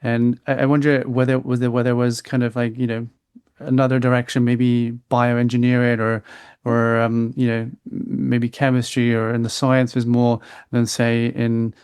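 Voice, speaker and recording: Smooth-voiced man, rambling host. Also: mid-range voice, monotone, darkish tone, dry recording. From United Kingdom. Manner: rambling, halting questions full of restarts. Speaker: podcast host. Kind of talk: interview questions.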